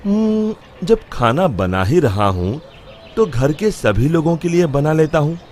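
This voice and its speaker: A man's voice: fairly high pitch, sing-song, unhurried, darkish tone, slight background noise. A man playing a weary, mildly exasperated working husband in a cartoon moral story. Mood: resigned.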